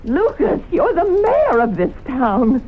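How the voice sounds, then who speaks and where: Desperate small town mom, really high voice, pleading, old muffled radio play. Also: female voice, sing-song, slow, heavily acted. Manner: insistent, pleading. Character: desperate bereaved small-town mother pleading for her daughter. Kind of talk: 1970s radio drama acting. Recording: dull vintage radio broadcast, faint noise.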